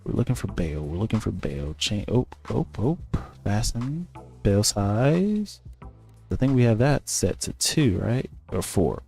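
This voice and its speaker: A man's voice: on the low side, sing-song, measured pace, creaky, a little mellow, soft background music. A man giving casual, rambling commentary as he plays a game, thinking aloud and correcting himself. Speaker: gaming streamer.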